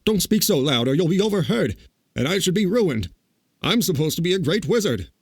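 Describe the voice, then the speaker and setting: A man's voice: sits high, sing-song, a little fast, slightly rough, no room sound, clean studio sound, pitched above the performer's own voice, full character voice. A man voicing a timid little old humbug wizard in a storybook, trembling and pleading. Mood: meek, hushed.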